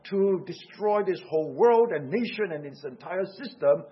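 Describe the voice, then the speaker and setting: Husky high-voiced Singaporean preacher. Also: male voice, expressive, normal speed, lo-fi sound. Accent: Singaporean English. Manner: earnest, urgent preaching with rhetorical questions. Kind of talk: sermon.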